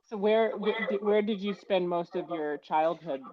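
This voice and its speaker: A woman interviews a guest as a podcast host. Her style is casual and conversational, with a mid-range pitch. The recording sounds slightly dull and lo-fi. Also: measured pace.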